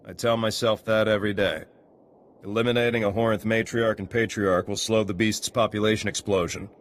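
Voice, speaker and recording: Man playing a gruff low-voiced guard. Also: fairly even intonation, brisk, lightly acted. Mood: earnest, businesslike. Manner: terse, clipped sentences. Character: gruff, dutiful male guard of ancient ruins. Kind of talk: video game dialogue acting.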